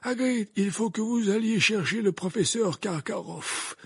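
Storybook elderly wizard headmaster, acted by a male narrator: raised pitch, raspy, authoritative, courteous. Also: a little slow, no echo, heavily acted. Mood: urgent, commanding. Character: commanding, composed old male wizard headmaster.

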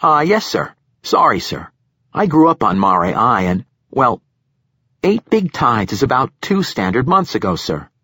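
Man putting on an eager, higher-pitched young lieutenant. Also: sing-song, bright, forceful tone, slightly rough, dry recording, heavily acted. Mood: deferential, nervous. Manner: deferential, halting, peppers speech with sir. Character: nervous, eager young male military lieutenant with youthful grin. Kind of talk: audiobook character dialogue.

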